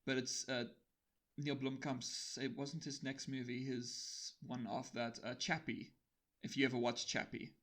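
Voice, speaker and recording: A man's voice: medium pitch, level tone, a little slow, a bit bright. Chatty male interviewer. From South Africa. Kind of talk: casual conversation.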